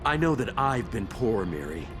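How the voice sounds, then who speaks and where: A man's voice: mid-range voice, sing-song, normal speed, lightly acted. An actor playing a defensive, bitter man in a video game, earnest and self-justifying. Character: defensive, bitter man, an old friend turned traitor. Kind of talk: dramatic video game acting. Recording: music behind the voice.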